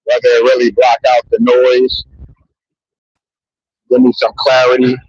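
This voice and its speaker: Husky man rambling in profane casual talk. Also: mid-range voice, completely flat delivery, a little slow, a little mellow, no echo, lo-fi sound. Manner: profane, loose, repeats "you know what I mean". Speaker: vlogger. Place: outdoors in a public area near trains. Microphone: handheld mic.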